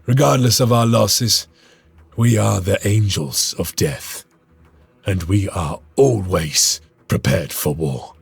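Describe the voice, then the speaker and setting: Gravelly grim space marine sergeant. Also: male voice, lowish voice, takes it slowly, no room sound, exaggerated voice. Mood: brooding. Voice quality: gravelly, husky. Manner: brooding, stern commands. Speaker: sergeant narrator. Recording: game cutscene with music.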